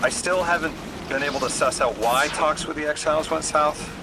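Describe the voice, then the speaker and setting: An actor playing an earnest, empathetic field negotiator in a sci-fi game, talking in a conversational, slightly hesitant way. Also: male voice, a little high, brisk, noisy background, lightly acted. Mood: concerned. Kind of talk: video game dialogue acting. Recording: very loud music behind the voice.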